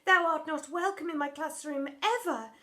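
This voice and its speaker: A woman's voice: high-pitched, normal speed, bright, forceful tone, pitched above the performer's own voice. A woman voicing a grandiose, melodramatic female drama teacher from a children's storybook, declaiming theatrically in mock-Shakespearean English. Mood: outraged.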